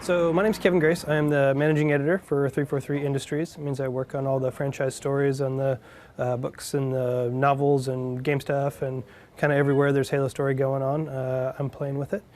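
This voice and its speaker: Flat-toned man in interview. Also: mid-range voice, fairly quick, slight background noise. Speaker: managing editor and writer. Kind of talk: behind-the-scenes interview.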